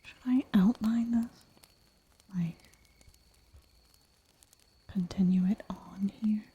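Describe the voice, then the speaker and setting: Lady whispering asmr stream stuff, super airy and mellow, giggly and rambly. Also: mid-range voice, slow, husky, muffled recording. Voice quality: soft whisper throughout. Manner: giggly, rambling, playful asides. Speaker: ASMR livestreamer. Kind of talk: whispered ASMR chat while drawing.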